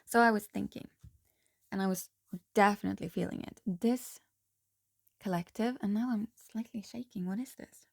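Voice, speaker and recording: A woman with a hoarse voice doing a casual tarot reading, talking slowly and haltingly with frequent pauses and restarts. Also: mid-range voice, dry recording, clean studio sound. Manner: slow, halting, soft-spoken with frequent ums and restarts. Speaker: tarot reader.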